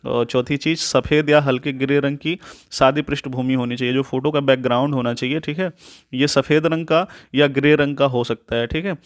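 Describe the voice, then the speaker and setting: A man's voice: medium pitch, fairly even intonation, brisk, hardly any pauses. Man giving an instructive explainer. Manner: instructive, repeatedly checks in with a tag question. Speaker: tutorial presenter. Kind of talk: informal step-by-step explainer, reading points then explaining.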